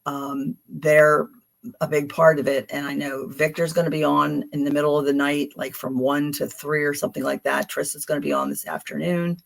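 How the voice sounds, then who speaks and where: A woman's voice: sits low, little pitch movement, normal speed, creaky. A woman with a Philadelphia accent giving chatty, affectionate tarot card readings on a livestream, with frequent ums and restarts.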